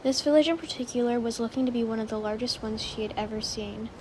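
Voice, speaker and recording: A woman's voice: on the high side, fast, a little mellow, a little hiss or hum. A woman narrating a story from a script, as in an audiobook of fan fiction.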